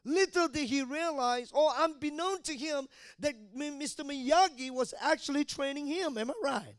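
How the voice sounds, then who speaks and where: A man's voice: very high-pitched, moderate pace, dry recording, studio quality. A man in his fifties from Malaysia preaching a sermon, often asking the congregation questions. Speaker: pastor.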